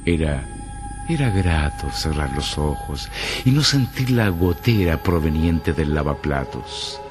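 A man narrating a mystery radio play. His voice is very low and grave, telling a dark tale in a measured literary style, with music clearly behind it. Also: expressive, exaggerated voice. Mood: grave, suspenseful.

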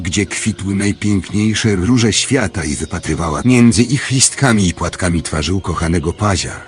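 An actor playing a grand male clock master who tells fairy tales in an audio drama, with a husky voice. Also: deep voice, lightly acted. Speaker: storyteller.

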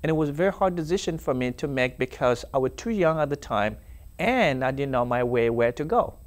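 A young man whose first language is Dinka speaks in a TV studio, telling his story earnestly. He pauses often and fills gaps with "uh" and "um". The sound is clean from a clip-on mic. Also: mid-range voice, expressive. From South Sudan. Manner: earnest, halting, with many fillers. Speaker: interview guest. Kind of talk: personal testimony in a TV interview. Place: TV studio set. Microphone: lapel mic.

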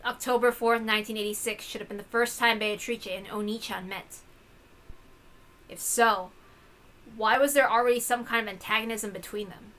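A woman's voice: on the high side, steady tone, moderate pace, bright, forceful tone, rough recording. A woman voicing an earnest, troubled teenage girl in a visual novel, in an insistent, questioning manner. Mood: puzzled. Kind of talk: visual novel character reading.

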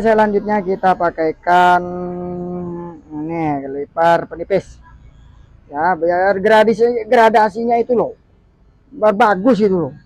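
Joking high-voiced barber, dry close sound. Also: male voice, a little mellow, no room sound, low-quality recording. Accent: Indonesian. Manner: chatty, joking, keeps saying "guys". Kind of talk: casual vlog narration while cutting hair. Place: small barbershop, indoors, street-facing.

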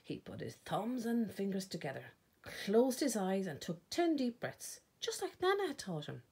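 An Irish woman reading a children's picture book aloud expressively, with dramatic pauses and emphasis. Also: medium pitch, big pitch swings, a little mellow, husky. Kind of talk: storytime read-aloud narration.

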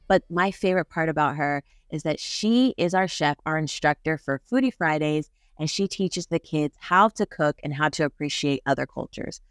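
A young American woman with a notably low voice hosts a casual interview. She speaks conversationally into a close studio microphone, and the sound is very dry, with little room echo. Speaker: podcast host. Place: small podcast studio. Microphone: close studio microphone on boom arm.

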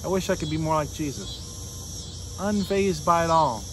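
Male American pastor, forties, high voice, conversational sermon delivery with pauses, recorded outside over noticeable ambient noise. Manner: earnest, conversational, with pauses and rhetorical questions. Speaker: Lutheran pastor. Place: outdoors beside a fallen tree near a street. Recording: outdoor noise, insects and vehicles.

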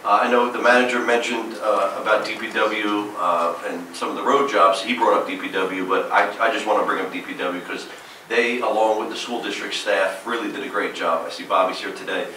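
A man's voice: lowish voice, fairly even intonation, brisk, bright, forceful tone, a little hiss or hum. A man serving as mayor, giving a report at a town council meeting in an upbeat, event-listing way with frequent fillers and a New Jersey accent. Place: large council chamber, indoors. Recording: echoey council chamber from far off.

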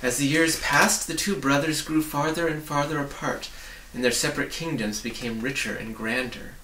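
A man in his forties reading a storybook aloud in a measured, expressive way. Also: fairly high pitch. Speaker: reader. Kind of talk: storybook narration, read aloud. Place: small book-lined home study, indoors. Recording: roomy, distant mic with faint noise.

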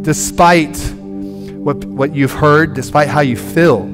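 Animated male pastor preaching on a stage. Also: on the high side, slow, over music. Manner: animated, moving about the stage and gesturing; conversational, with many restarts. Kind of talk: sermon. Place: large church stage.

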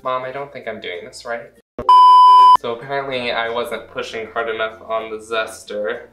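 A man's voice: medium pitch, flat delivery, normal speed, loud music behind the voice. A young American man casually walking through a recipe in his home kitchen, with playful, self-deprecating asides. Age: young adult. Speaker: cooking show host. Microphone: camera mic a few feet away. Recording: roomy kitchen echo from camera distance.

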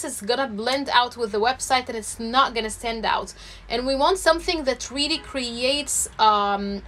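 A woman's voice: high voice, a bit bright. A woman in her thirties coaching on YouTube growth as she reviews a channel over a screen recording, explaining step by step with frequent fillers and restarts.

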